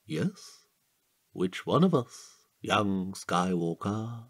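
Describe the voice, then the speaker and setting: Excitable, chatty comic sidekick. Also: male voice, low voice, extremely slow, heavily acted. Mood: excited, nerdy. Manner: rambling, theatrical, eager, with gasps. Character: chatty, excitable, needy male sidekick, easily sidetracked. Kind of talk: comic audio drama dialogue.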